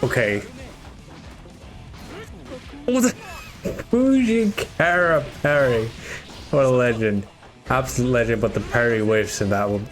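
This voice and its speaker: A man's voice: mid-range voice, animated, slow, long pauses, faint music behind. A man from Germany, the tournament organizer, giving casual, rambling live commentary on his own and chatting with viewers. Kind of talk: solo live esports commentary.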